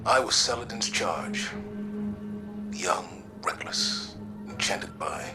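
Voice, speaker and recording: An actor playing a stoic, weary veteran commander in a video game, speaking in a measured, solemn and formal way. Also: male voice, low voice, wildly swooping pitch, heavily acted. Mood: wistful. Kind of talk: dramatic video game cutscene acting. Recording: music under the voice.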